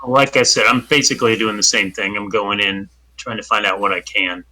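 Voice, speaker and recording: Very bright-voiced male player. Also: on the low side, fairly even intonation, brisk, poor audio. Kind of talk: casual tabletop game chat.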